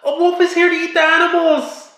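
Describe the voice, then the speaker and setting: A woman voicing a mischievous, bored young boy prankster in an animated storybook, with theatrical, exaggerated delivery. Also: very high voice, a little fast, pitched above the performer's own voice. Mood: fake panic. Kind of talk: animated storybook role-play. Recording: roomy echo, music behind.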